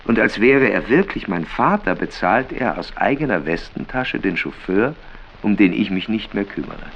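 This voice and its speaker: Wry traveling writer, low lively voice, gravelly, radio play monologue, dull recording with rain. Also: male voice, moderate pace. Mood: wry, fond. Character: male itinerant writer, hotel dweller, fondly ironic. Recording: dull, noisy, rain behind.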